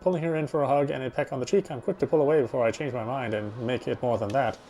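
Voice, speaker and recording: A man's voice: mid-range voice, fast, warm tone, soft background music, lightly acted, rough recording. A man playing a wry, self-justifying British husband, confiding his brooding inner monologue as first-person game narration. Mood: resigned, reflective.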